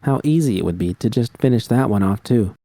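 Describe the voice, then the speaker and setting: Male audiobook narrator for first-person fiction, low and dark in tone, scripted read. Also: lively intonation, brisk, dry recording, clean studio sound.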